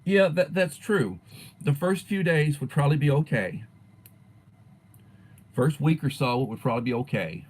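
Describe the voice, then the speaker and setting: A man in his sixties, a teacher chatting casually on his own livestream and answering viewers, rambling and letting his sentences fade softly at the ends. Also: a little high, animated, moderate pace, pauses often, husky. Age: 60s. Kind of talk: casual livestream chat reading viewer comments.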